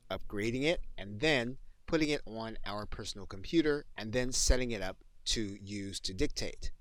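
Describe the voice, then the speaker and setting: A man narrating a software tutorial as a course instructor, explaining in measured, orderly phrases. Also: on the low side, no room sound, poor audio.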